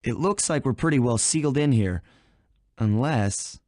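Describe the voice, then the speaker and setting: A cool young raccoon thief voiced by a man for a video game. He has a medium-pitched voice and speaks with easygoing, wry confidence. The recording is very dry. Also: lively intonation, a little slow, a little mellow, dry recording, natural voice. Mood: planning. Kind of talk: video game character voice acting.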